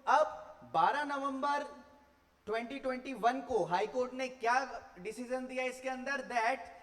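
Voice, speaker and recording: A man's voice: very high-pitched, unhurried, fairly bright tone. A man with an Indian accent giving an explanatory law lecture, mixing Hindi and English and putting rhetorical questions to students. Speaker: law teacher. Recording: long hall reverb.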